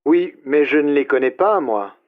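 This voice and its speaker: A man's voice: fairly high pitch, expressive, normal speed. A man with a slightly raised voice speaks over a tinny phone line, answering in a hesitant, easygoing way. The sound is thin and filtered like a telephone. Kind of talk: scripted phone dialogue.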